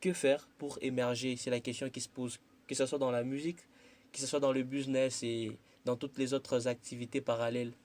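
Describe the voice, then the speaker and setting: A man's voice: medium pitch, flat delivery, brisk, a little mellow. A man hosting a radio interview who asks his questions in formal announcer phrasing. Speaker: radio host.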